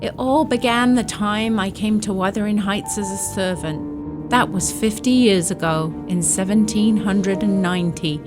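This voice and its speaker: A woman's voice: medium pitch, a little slow, over music, natural voice. An actress playing an elderly servant woman in a radio drama, deferential, grave and matter-of-fact. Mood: grave, storytelling. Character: long-serving elderly female housekeeper, deferential, grave. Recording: faint background noise.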